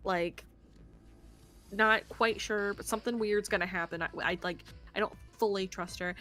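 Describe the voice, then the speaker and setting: Young woman, casual game stream chat, animated and rambling, ordinary pitch, background music. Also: normal speed. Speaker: streamer co-hosting a visual novel playthrough. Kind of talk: casual chatty game commentary.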